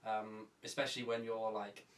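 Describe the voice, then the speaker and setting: A man's voice: fairly low pitch, level tone, moderate pace, far from the mic, slight background noise. A young man co-hosting a casual podcast, chatty and self-mocking, rambling with lots of fillers. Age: young adult. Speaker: podcast co-host. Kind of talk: casual chat. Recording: roomy, from off-mic.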